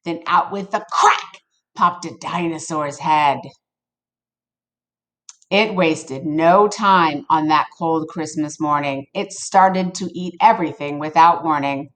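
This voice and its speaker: A woman reading a picture book aloud to children in an expressive, playful way, with casual asides to her listeners. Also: low voice, takes it slowly. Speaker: storybook reader for children.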